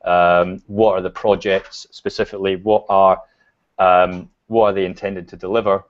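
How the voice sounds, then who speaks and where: A Scottish man talks in a low, easygoing voice, pausing with frequent ums as he thinks aloud. His voice comes through a video call with a dull, lo-fi sound. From Scotland. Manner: relaxed, many fillers and restarts. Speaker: guest. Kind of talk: casual video chat.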